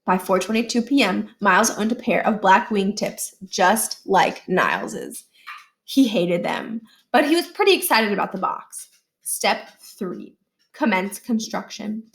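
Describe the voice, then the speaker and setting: A woman's voice: mid-range voice, expressive, unhurried, gravelly. Woman reading a children's story expressively. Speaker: read-aloud reader.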